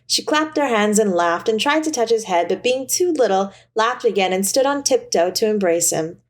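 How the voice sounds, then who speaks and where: A woman narrates a classic story from a script. Her voice sits at a middle pitch and her reading is expressive and theatrical. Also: normal speed. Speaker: audiobook narrator.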